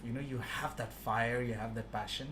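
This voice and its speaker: This is a man around thirty hosting a casual podcast from a couch in a small living room. He tells stories with energy and acts out the lines of the people in them. His voice sits at a middling pitch, and the room is echoey with a faint hum behind it. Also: moderate pace. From Saudi Arabia. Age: 30s. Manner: animated storyteller, acts out quoted dialogue. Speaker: podcast host. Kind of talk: casual conversational interview. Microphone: stand mic, filmed by far camera.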